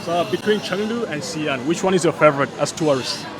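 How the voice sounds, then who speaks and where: A man asking passersby quick, friendly questions in a casual street interview. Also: fairly high pitch, expressive, crowd noise, rough recording. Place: busy city street outdoors. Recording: crowded street background.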